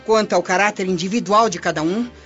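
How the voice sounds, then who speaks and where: A woman's voice: mid-range voice, a little fast, natural voice. A woman acting a scheming, vengeful Roman noblewoman in a radio drama, with formal, theatrical, insinuating delivery. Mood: feigned anguish. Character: scheming vengeful Roman noblewoman, falsely confiding prefect's wife. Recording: muffled lo-fi recording under loud music.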